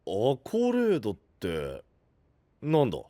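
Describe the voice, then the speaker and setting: A brash, animated young knight. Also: male voice, lowish voice, slow, dry recording, exaggerated voice. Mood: baffled, shaken. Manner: rough, brash, punchy delivery. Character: blunt, big-hearted young male knight, rough-spoken and decisive. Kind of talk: anime voice drama acting.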